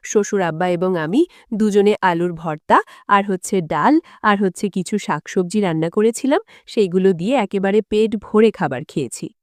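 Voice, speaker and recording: A woman's voice: mid-range voice, normal speed, a little mellow, dry recording, clean studio sound. A woman narrating a dramatic first-person story as a storyteller.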